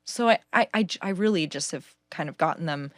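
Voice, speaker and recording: Woman, low alto voice, casual and chatty, lots of "like", laughs easily, dry recording. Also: expressive, fairly quick, a bit bright, no echo. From California. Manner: chatty, many "like"s and frequent laughs. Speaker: singer-songwriter guest. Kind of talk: casual interview chat.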